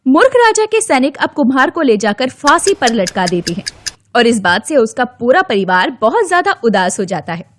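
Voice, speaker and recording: A woman storyteller reads a scripted children's tale. Her voice is high-pitched and swoops expressively, with a dry studio sound. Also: very animated, moderate pace, fairly bright tone, no echo.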